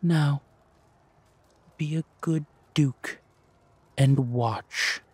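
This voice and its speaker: A man playing a vengeful, ruthless villain in an audio drama, with a husky voice and a deliberate, menacing, mocking delivery. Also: on the high side, darkish tone, a little hiss or hum. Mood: cruel, mocking.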